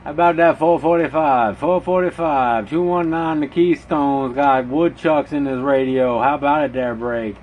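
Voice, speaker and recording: A man's voice: on the high side, moderate pace, few pauses, a little mellow. A man operating a CB radio, calling out slangy, repetitive call signs in a chanting way. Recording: clearly noisy radio ambience.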